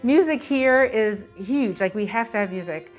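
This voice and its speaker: A woman's voice: medium pitch, lively intonation, normal speed. A middle-aged American woman talking casually in an interview as a small business owner. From Philadelphia, United States. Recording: music playing behind the voice. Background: string music.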